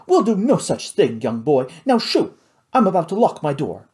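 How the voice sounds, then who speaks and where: Brief: narrator as a high, indignant grande dame, bossy and affronted. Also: big pitch swings, normal speed, warm tone, close to an everyday voice. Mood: outraged. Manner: shrill, imperious outrage. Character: haughty, indignant woman passenger.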